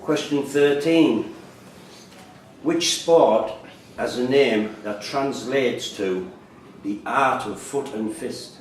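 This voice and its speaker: Male quiz master, measured and terse, recorded at a distance in a boomy, reverberant room. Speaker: quiz master reading questions. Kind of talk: live quiz question reading. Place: indoor room at a quiz tournament. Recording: echoey room, distant mic, faint noise.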